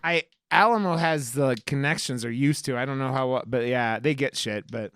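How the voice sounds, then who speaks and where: A podcast co-host chatting casually about films in a profane, halting, rambling way. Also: mid-range voice, a bit bright, smooth, no room sound, studio quality. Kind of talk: casual film review chat.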